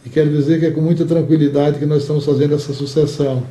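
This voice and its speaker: An older man with a Brazilian Portuguese accent gives measured, formal answers as an association's outgoing president, choosing words deliberately and repeating some as he goes. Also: fairly high pitch, level tone, warm tone.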